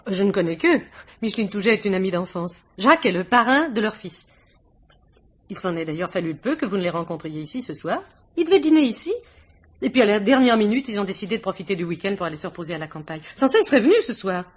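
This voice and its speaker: Devoted wife, 1950s radio drama: talkative hostess, romantic and polite, slightly husky voice. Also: female voice, medium pitch, animated, quick pace. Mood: animated, assured. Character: gracious, chatty, devoted young wife and hostess. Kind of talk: 1950s French radio mystery acting.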